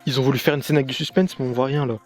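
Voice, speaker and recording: A man's voice: medium pitch, steady tone, racing, faint music behind. A man reading scripted commentary for a video review in a sarcastic, critical manner.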